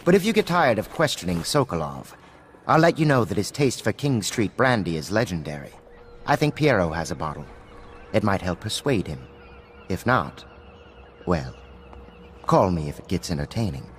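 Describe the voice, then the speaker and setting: An actor playing a suave, flippant aristocrat in a video game, with a wry, genteel, languid manner. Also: male voice, deep voice, sing-song, normal speed, pauses often. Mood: wry, casual. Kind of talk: dramatic game cutscene dialogue. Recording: clearly noisy, ambient game sound.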